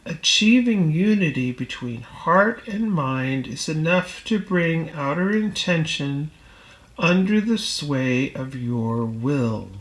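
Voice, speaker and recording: A woman reading a self-help book aloud as scripted narration, in a deliberate, measured manner. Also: deep voice, animated, poor audio. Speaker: audiobook reader.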